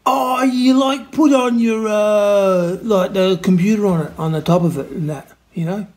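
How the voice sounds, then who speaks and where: A man putting on an eager, bumbling comic caller with a strikingly high voice for a male. He fumbles his English, hesitates over words and repeats himself as he muddles through a prank phone call. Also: a little slow, darkish tone. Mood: muddled. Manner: hesitant, repetitive, muddled word-searching. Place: home kitchen, indoors. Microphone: camera close to face, phone on speaker.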